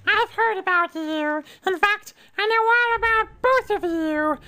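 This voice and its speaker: A woman's voice: very high-pitched, a little slow, sharp tone, exaggerated voice. A woman playing an imperious cobra princess who guards an ancient tomb, with haughty, theatrical proclamations in a comic adventure radio play. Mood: suspicious, comic.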